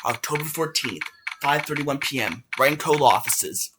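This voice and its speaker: A man's voice: fairly high pitch, lively intonation, a little slow, heavy background noise. A man narrating scripted scene announcements for a fandub. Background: loud music.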